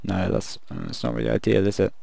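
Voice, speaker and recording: A man casually commenting while he builds in a video game, thinking aloud and rambling, his voice croaky from the late hour. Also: on the low side, huge pitch swings, fairly quick, dark tone. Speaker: gaming video creator.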